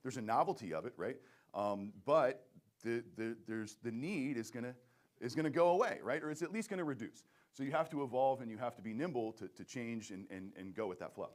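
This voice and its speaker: A man who owns a print shop, answering questions in a halting, casual way at a conference panel, often repeating words. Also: mid-range voice, animated. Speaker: print shop owner, guest speaker.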